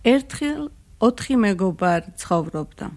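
A woman's voice: medium pitch, animated, takes it slowly, darkish tone. A mother from Georgia reading a children's picture book aloud, recorded a little away from the reporter's microphone.

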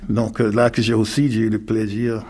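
An older retired man from North Carolina with an American accent, speaking haltingly in an interview with many fillers and restarts. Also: fairly low pitch, completely flat delivery, mellow. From United States, North Carolina. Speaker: interviewee, retired community mediator. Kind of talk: reflective interview talk.

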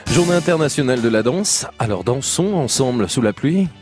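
Fast-talking upbeat radio host man. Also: mid-range voice, animated, darkish tone, soft background music. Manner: upbeat, brisk, encouraging. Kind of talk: live radio phone-in hosting.

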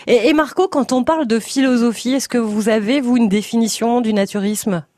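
A woman hosting a radio call-in show, talking in a lively, chatty way and often jumping in with questions. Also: fairly high pitch, no echo, clean studio sound. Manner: lively, chatty, quick to interject. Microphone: studio microphone.

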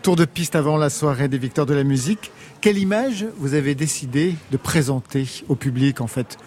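A man's voice: medium pitch, expressive, fairly quick, a little mellow, a little hiss or hum. A man hosting a radio music interview, asking probing follow-up questions. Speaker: radio host.

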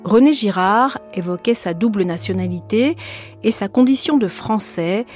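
A woman presenter reading a measured scripted introduction for a radio program. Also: medium pitch, lively intonation, a little mellow, soft background music. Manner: measured, announcing. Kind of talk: scripted narration.

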